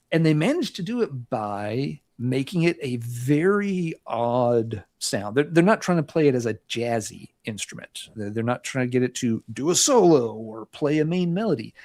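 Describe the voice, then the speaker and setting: A man on a podcast talking through an album review in a thoughtful, measured way, restarting now and then. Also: mid-range voice, a little mellow, no room sound. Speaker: podcast co-host.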